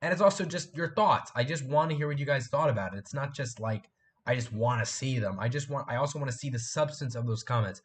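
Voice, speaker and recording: An eighteen-year-old man hosting a casual live stream from a room at home, hoarse and congested from a cold, rambling and repeating himself as he chats with viewers. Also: medium pitch, fast talker. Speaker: live stream host and fan film maker.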